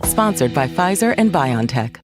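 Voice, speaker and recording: An American man gives a scripted health commercial read in a mid-range voice. Music is clearly heard behind him. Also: medium pitch, sing-song, moderate pace. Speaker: commercial voice-over.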